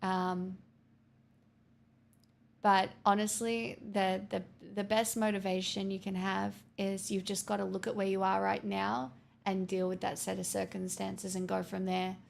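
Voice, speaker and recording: A woman's voice: mid-range voice, level tone, unhurried, gravelly, slight background noise. A woman live streamer chatting casually and candidly with viewers, answering their messages with frequent restarts and the odd swear.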